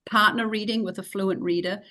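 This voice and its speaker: A middle-aged woman with a New Zealand accent talks through a slide lecture for teachers. Her pitch sits at a typical middle level, and she explains things plainly and practically, often pausing on fillers. She sounds like she is speaking into a webcam on a video call. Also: steady tone, bright, forceful tone. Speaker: teacher trainer.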